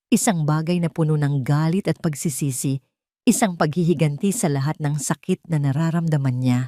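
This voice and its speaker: A woman's voice: low-pitched, a little mellow, no room sound, studio quality. A woman with a Filipino accent reading a scripted dramatic story as its narrator.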